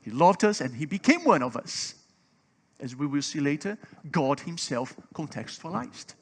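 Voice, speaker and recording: A man's voice: fairly high pitch, very animated, moderate pace, breathy edge. Man preaching emphatically. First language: Portuguese. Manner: emphatic, swelling louder on key points; asks the audience questions. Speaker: seminar speaker. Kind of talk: conference seminar teaching.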